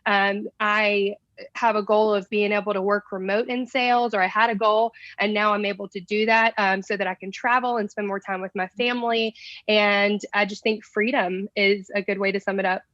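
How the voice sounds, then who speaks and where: A woman's voice: mid-range voice, little pitch movement, normal speed, a bit bright, no echo. A woman from South Carolina introducing herself on a video call, hesitant with many ums. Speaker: recruiter. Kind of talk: casual self-introduction. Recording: dull dry call audio.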